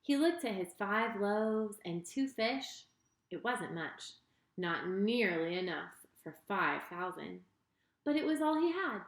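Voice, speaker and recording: A woman reading a children's story in an expressive, deliberate way, speaking directly to her young listeners. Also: medium pitch. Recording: roomy, echoing sound.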